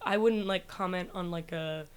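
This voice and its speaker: A woman with a naturally raspy voice chatting casually on a podcast, talking in a rush, full of likes and restarts, often laughing. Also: fairly low pitch, moderate pace. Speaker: comedian guest.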